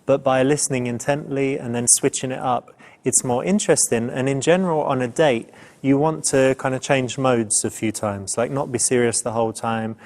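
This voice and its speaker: A man speaks as a dating coach in an easy, conversational commentary. His voice sits at a medium pitch, and he explains things informally, as advice to the listener. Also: normal speed, darkish tone.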